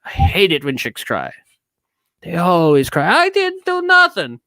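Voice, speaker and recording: A man's voice: sits high, huge pitch swings, a little slow, sharp tone, no echo, pitched above the performer's own voice, heavily acted. Man putting on a cranky, edgy, theatrical ranter. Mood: scornful griping. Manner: theatrical, cranky, put-on shifting accent. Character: edgy, bitter, ranting neurotic man telling a travelogue. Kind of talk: dramatic reading of a rambling rant.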